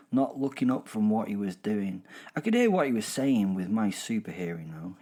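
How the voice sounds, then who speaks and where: A man playing a gleeful, boastful werewolf in a horror comedy audio drama, telling of the hunt in a chatty, digressive way with husky drops toward a whisper. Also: fairly low pitch, warm tone. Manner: chatty, digressive, self-interrupting, darkly jokey. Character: gleeful, boastful male werewolf killer recounting his hunt.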